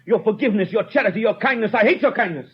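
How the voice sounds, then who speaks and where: A man's voice: high voice, very fast, slight background noise. A man playing a stern, rigid police inspector in 1930s radio drama style, with a clipped, formal, unbending manner. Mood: impassioned, bitter. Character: stern, rigid, self-punishing male French police inspector. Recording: dull old 1930s radio recording.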